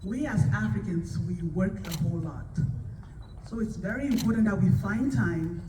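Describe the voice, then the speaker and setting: A woman from Cameroon, a travel agent, giving a friendly, urging pitch to an event crowd. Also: normal speed. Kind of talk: short promotional pitch at an event. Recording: echoey room, distant mic, clear noise.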